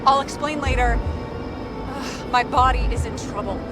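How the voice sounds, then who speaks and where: A woman's voice: high voice, measured pace. A woman acting an urgent, worried partner to a detective in a cinematic game, insistent and commanding. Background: loud music.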